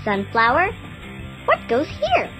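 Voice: high-pitched, huge pitch swings, slow pace, over music, heavily acted, low-quality recording. A child-voiced performer playing a cheerful, encouraging little girl who coaches young players in a sing-song, exclamatory way in an educational cartoon game.

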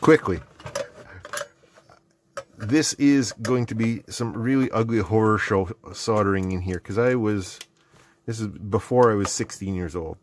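Middle-aged man, Canadian accent, lowish pitch, casual workbench narration, rambling, self-deprecating, noisy background. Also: unhurried, pauses often. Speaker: host of an electronics hobby video series. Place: indoor hobby electronics workbench. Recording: clearly noisy background with clicks.

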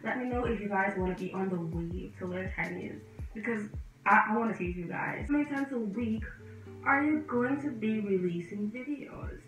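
A woman in her twenties from the Bahamas with a Bahamian accent, chatting to camera in a lively, animated vlog, gesturing as she talks. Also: medium pitch, normal speed. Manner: lively and animated, gesturing with both hands, chatty and direct to viewers. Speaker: vlogger and medical student. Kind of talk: casual sit-down vlog talking to camera. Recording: echoey room, voice a bit distant, faint music behind.

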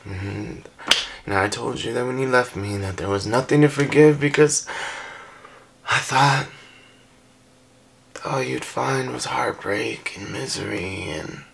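A man performing a dramatic monologue as a jealous, heartbroken young lover, halting and tearful. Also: medium pitch, gravelly, a bit bright, a little hiss or hum. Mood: quiet hurt. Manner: halting, emotional, breaking into whispers and cries. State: crying. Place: small indoor room.

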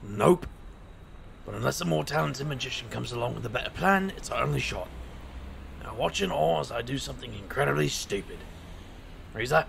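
Very gravelly, wry street magician played by a man. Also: mid-range voice, expressive, brisk, pauses often, a bit bright, a little hiss or hum. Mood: wry, bold. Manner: wry, sardonic. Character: wry, reckless street magician, male, narrating his own tale. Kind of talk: first-person audio drama narration and dialogue.

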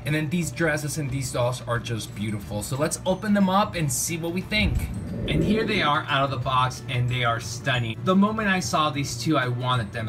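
A man in a casual unboxing review of toys, speaking off camera with gushing enthusiasm. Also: mid-range voice. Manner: enthusiastic, gushing, lots of "really, really". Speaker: toy reviewer. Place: indoors, tabletop setup. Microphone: off-camera narration, camera on hands.

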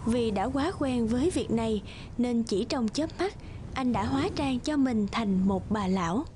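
A woman's voice: fairly high pitch, unhurried, dark tone, slight background noise. A woman reading scripted folktale narration as a storyteller. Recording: music bed under narration.

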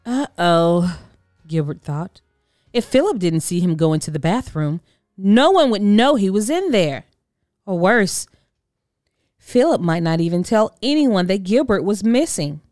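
Slow, lively woman reading a storybook. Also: medium pitch, dry recording, clean studio sound, faint music behind. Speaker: children's storybook narrator. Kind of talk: expressive read-aloud narration.

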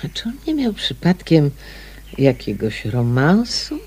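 An actress playing a polite, inquisitive amateur sleuth in a radio mystery, probing courteously with small hesitations. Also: female voice, deep voice, wildly swooping pitch, unhurried, a little mellow, husky, exaggerated voice. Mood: politely probing. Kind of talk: radio mystery drama acting.

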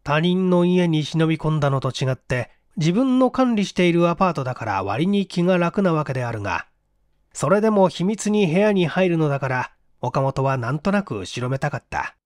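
A male storyteller reads a mystery with dramatic, widely varied intonation. His voice sits somewhat high for a man. The recording is dry, with little room sound. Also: big pitch swings, normal speed, no echo.

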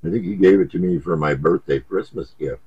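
Low husky guy chatting on cb radio, easygoing and folksy, dry muffled sound. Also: a little fast, warm tone, no echo. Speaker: CB radio operator. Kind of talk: casual radio contact chat.

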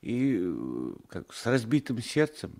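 Halting, anxious old peasant. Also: male voice, lowish voice, wildly swooping pitch, gravelly, darkish tone, heavily acted. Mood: bitter, resigned. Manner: hesitant, halting, plaintive. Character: anxious, simple, tipsy old peasant husband. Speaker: radio drama actor. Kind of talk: Soviet-era 1980s radio play acting.

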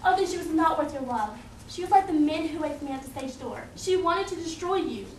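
A woman's voice: sits high, lively intonation, brisk, a little mellow. A young woman playing an adoring, romantic dancer in an amateur stage play, earnest and pleading. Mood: indignant. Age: young adult. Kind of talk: theatrical stage acting. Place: indoor theatre stage. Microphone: distant audience camera. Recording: echoey noisy theatre stage from far off.

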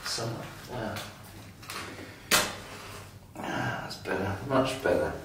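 An actor playing a scheming, chummy English husband in a rambling, repetitive, matey manner, in a 1980s radio drama. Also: male voice, lowish voice, animated, takes it slowly, heavy background noise. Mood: frightened, muttering.

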